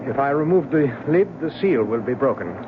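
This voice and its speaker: A man's voice: mid-range voice, lively intonation, fairly quick, natural voice. An actor playing a stammering, secretive man. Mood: evasive. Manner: stammering, apologetic, evasive. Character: nervous secretive man guarding a crate. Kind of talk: 1970s radio drama acting. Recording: muffled old radio broadcast, hiss.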